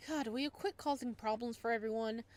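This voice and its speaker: A woman's voice: fairly high pitch, brisk, darkish tone, lightly acted. A woman voicing a bubbly, sassy young man who works part-time at a clinic, in an exaggerated visual novel reading. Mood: scolding. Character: bubbly, sassy young male clinic part-timer, scolding but friendly.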